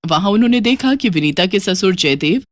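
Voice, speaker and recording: A woman's voice: medium pitch, expressive, fairly quick, dry recording, studio quality. A woman reading scripted moral-story narration as a storyteller. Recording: music playing behind the voice.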